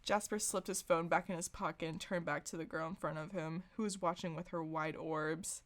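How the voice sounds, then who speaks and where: A woman's voice: low-pitched, steady tone, moderate pace, slight background noise. Giggly woman reading fan fiction aloud. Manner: giggly, breaks into laughter while reading. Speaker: podcast host reading aloud. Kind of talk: comic fan fiction read-aloud with asides.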